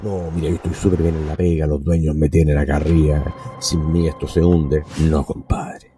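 A man, a podcast guest telling his story in an emphatic, animated way. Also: very deep voice, normal speed, over music. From Venezuela. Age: 50s. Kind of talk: casual interview talk.

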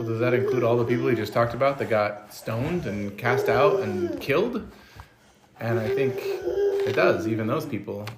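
A man talks through scripture in a casual, reflective way, pausing to think as he goes. He sounds like a father in a family discussion. The recording is echoey, with clear noise behind him. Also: medium pitch.

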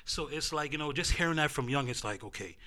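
A man in his late twenties hosting a casual group discussion, talking in an animated, slangy way. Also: on the high side, fast talker. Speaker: podcast host moderating a panel.

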